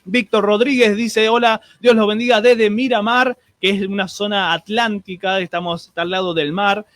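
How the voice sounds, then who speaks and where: A man's voice: high voice, flat delivery, moderate pace, a bit bright, dry recording, clean studio sound. A man with an Argentine accent hosting a streamed radio show, chatting and greeting listeners with many restarts and fillers.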